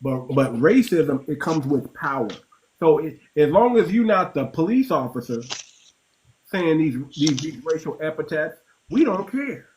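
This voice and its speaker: Man, fairly high voice, emphatic, repeats himself, faint noise behind. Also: big pitch swings, measured pace, darkish tone, rough recording. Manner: emphatic, repeats words while building a point. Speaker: host. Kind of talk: casual panel discussion.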